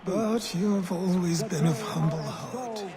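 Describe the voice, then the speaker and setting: An actor playing a skeptical, cautioning mentor in a video game, with dry, formal phrasing. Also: male voice, high-pitched, a little slow. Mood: wry. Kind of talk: video game cutscene acting. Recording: loud crowd noise behind.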